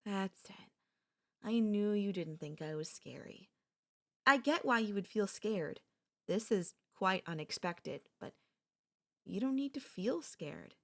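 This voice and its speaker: A young woman voicing an obsessive, possessive childhood friend in an ASMR roleplay, talking softly with a sweet, menacing calm and slipping into whispers. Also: mid-range voice, takes it slowly. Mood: soothing, hushed.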